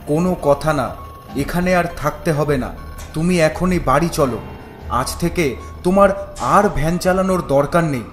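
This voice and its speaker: A man's voice: on the high side, measured pace, faint music behind, lightly acted. A man voicing a defiant, fearless young village son in a horror cartoon, speaking in a bold, resolute way. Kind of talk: animated horror cartoon acting.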